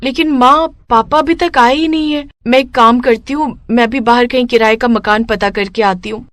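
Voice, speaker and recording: A woman's voice: on the high side, fairly even intonation, moderate pace, fairly bright tone, dry recording, clean studio sound, lightly acted. A woman voicing a resourceful, hopeful young daughter, earnest and persuasive, in a cartoon story. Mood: worried, determined.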